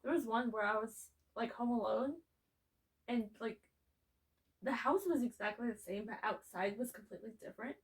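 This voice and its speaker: A woman's voice: fairly high pitch, moderate pace, recorded from a distance, rough recording. A young woman co-hosting a podcast, chatting casually and laughing often. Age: young adult. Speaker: podcast co-host. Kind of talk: casual chat.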